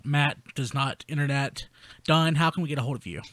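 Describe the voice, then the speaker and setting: A man with a slightly high voice hosts an informal panel talk. He is blunt and conversational, and the recording is very dry with no room sound. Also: fairly quick, fairly bright tone, dry recording. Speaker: podcast host. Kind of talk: casual panel chat.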